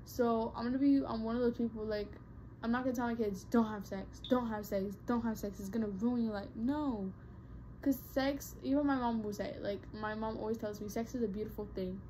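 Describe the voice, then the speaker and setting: A woman's voice: fairly high pitch, steady tone, a little fast, dark tone, a little hiss or hum, poor audio. A young woman vlogger chatting casually to her viewers, rambling with lots of fillers and restarts. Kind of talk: casual talk to camera.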